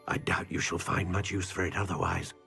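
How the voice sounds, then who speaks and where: A wise old wizard guardian in a fantasy game. His voice is low and solemn, formal and measured, ringing in a long reverb with faint music underneath. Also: gravelly, bright, forceful tone, exaggerated voice. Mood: cautioning. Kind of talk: video game fantasy voice acting.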